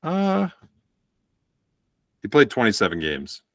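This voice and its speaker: A man's voice: mid-range voice, huge pitch swings, slow pace, fairly bright tone, no echo, clean studio sound. A man co-hosting a sports podcast, matter-of-fact as he reels off numbers. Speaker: stats-minded co-host. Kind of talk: casual sports chat.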